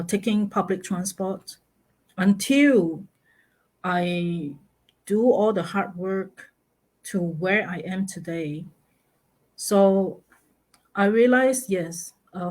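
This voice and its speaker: Slow, halting middle-aged woman from Malaysia. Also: lowish voice, low-quality recording. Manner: slow, halting, many fillers, laughs easily. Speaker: guest, online business mentor. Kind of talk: casual interview over a live stream.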